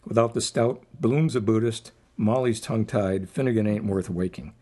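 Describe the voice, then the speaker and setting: A man speaking informally as a poet at a live reading, rambling and self-deprecating, with a husky voice. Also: fairly low pitch, normal speed, warm tone. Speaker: poet introducing and reading own poem. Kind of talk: informal live poetry reading introduction with anecdotes.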